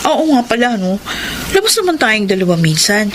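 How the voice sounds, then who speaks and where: An actress playing a friendly, caring, affectionate older sister, a young adult in a radio drama. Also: female voice, mid-range voice, big pitch swings, moderate pace, natural voice. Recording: noisy radio drama recording.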